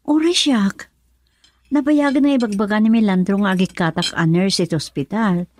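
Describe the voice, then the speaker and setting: A woman's voice: medium pitch, normal speed, a little mellow, close to an everyday voice. A woman playing a meek, wistful young wife and trained nurse in an Ilocano radio drama. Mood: friendly.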